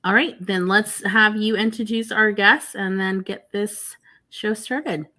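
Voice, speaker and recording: A woman's voice: medium pitch, steady tone, unhurried, pushed and bright. A woman with an American accent hosting a community talk show in an informal, conversational way.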